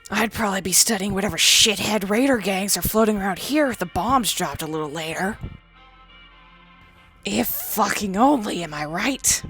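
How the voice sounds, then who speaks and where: A woman's voice: mid-range voice, moderate pace, fairly bright tone, faint music behind, lightly acted. A woman voicing a sardonic, crude young female ghoul, a former psychology student, in a game dialogue. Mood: wry. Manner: blunt, crude and defensive. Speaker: companion character. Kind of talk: fan-voiced game dialogue.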